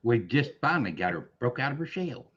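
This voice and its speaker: A man's voice: medium pitch, big pitch swings, brisk, fairly bright tone, breathy edge. An easygoing man chatting and teasing on a livestream panel. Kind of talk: casual livestream chat. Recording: dull lo-fi stream audio.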